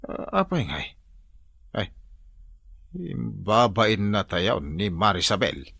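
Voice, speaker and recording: An actor playing a grumbling older husband in an Ilocano radio drama, gravelly and low, with an Ilocano accent. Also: male voice, sing-song, takes it slowly, fairly bright tone, no echo, full character voice.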